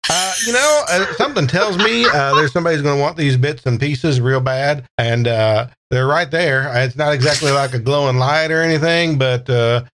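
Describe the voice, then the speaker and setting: A man's voice: on the high side, normal speed, few pauses, fairly bright tone, slight background noise, natural voice. A man playing an easygoing Texan character with a folksy, rambling drawl in a tabletop roleplay. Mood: folksy, musing.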